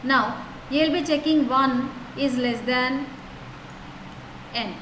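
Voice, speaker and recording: A woman teaching a programming lesson, talking through code step by step in a steady, explanatory way and often starting with "so" and "now". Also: high voice, takes it slowly. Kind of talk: lecture walking through code. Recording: echoey room with noise.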